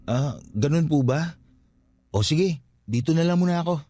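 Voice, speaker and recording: A Filipino man acting in a radio drama as a calm, respectful young caretaker of a haunted guesthouse, speaking politely and reassuringly. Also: on the high side, normal speed, a little hiss or hum, natural voice.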